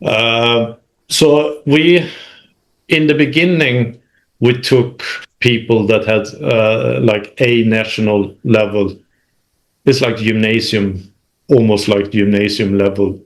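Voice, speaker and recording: A man from Sweden, speaking as an interview guest about his working life in a halting, self-correcting conversational style. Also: lowish voice, steady tone. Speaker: interview guest, manufacturing executive. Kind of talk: recounting career experience in conversation.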